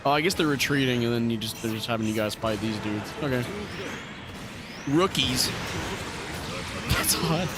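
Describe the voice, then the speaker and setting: Teasing young man, mid-pitched voice. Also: moderate pace, pauses often. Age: young adult. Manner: teasing, crude joking banter. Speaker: co-host playing a video game. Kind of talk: casual gaming chat. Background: game music and sound effects.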